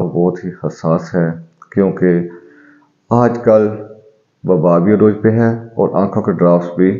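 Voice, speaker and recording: Calm, deep-toned male doctor explaining remedies. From Pakistan. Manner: calm, explanatory, addresses viewers as friends. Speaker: homeopathic doctor. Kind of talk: health advice video to viewers. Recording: dull, echoey room recording.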